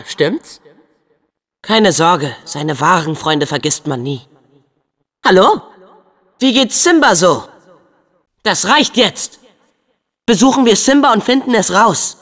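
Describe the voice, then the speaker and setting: A man playing an upbeat, brave, earnest teenage boy hero in a video game dub, with an eager, expressive, youthful delivery. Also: sits high, big-room sound. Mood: encouraging.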